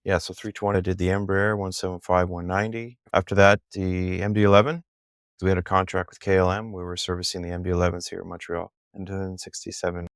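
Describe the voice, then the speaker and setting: A middle-aged man with a low, smooth, resonant voice, chatting as a podcast guest. He tells long, eager, rambling stories. The sound is close and dry. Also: little pitch movement, quick pace, no room sound. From Canada. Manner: enthusiastic, rambling storyteller. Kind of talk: casual chat.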